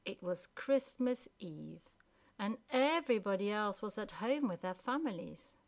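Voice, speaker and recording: Woman, children's story narrator, very unhurried, deliberate pauses, mid-pitched. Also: animated, gravelly. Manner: deliberate, with pauses between phrases.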